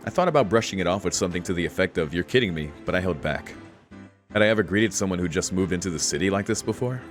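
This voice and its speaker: A man's voice: low-pitched, quick pace. A man streaming a visual novel, reading the text aloud and adding laid-back, informal asides. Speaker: let's play streamer. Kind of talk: reading game text aloud with casual commentary. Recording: faint game music behind the voice.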